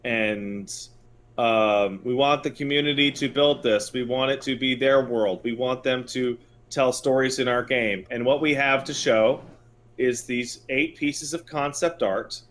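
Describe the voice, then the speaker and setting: A man's voice: mid-range voice, steady tone, unhurried, a bit bright, slight background noise. Blunt, sarcastic man on a podcast. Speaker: podcast co-host and video game commentator. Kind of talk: casual opinionated podcast chat about video games.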